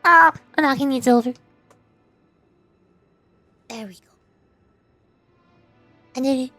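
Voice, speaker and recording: A woman's voice: high voice, slow, sharp tone, soft background music. A woman livestreamer chatting casually over a game in a bubbly, rambling, giggly way. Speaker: livestreamer, VTuber.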